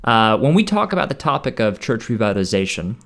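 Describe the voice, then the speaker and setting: Low-pitched man hosting an interview. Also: very animated, quick pace. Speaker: podcast host. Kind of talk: conversational interview.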